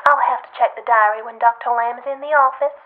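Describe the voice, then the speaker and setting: A woman's voice: on the high side, fairly even intonation, fast talker. A woman playing a polite, evasive corporate receptionist, formal and robotic, over a telephone line in an audio drama. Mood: politely evasive. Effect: telephone filter. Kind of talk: customer-service phone call. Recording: thin, tinny phone line.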